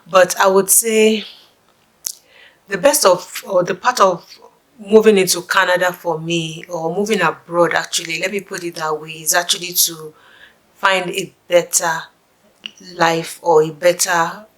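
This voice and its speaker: Nigerian woman with a low voice. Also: fairly even intonation, unhurried, fairly bright tone. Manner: chatty, musing, with asides and restarts. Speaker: vlogger for immigrants. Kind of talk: casual chat to camera.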